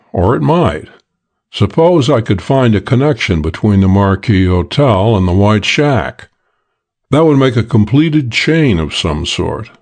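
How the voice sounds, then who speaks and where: A man narrating a hardboiled detective audiobook in the first person, in a measured, dry manner. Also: fairly low pitch, a little mellow.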